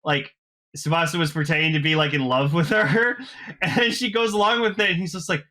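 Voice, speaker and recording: A male podcast host with a high, bright voice. He chats casually and eagerly, rambling through his recap with plenty of filler "likes". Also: fairly even intonation, brisk. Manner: enthusiastic, rambling, peppered with "like". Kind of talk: casual recap chat about a TV show.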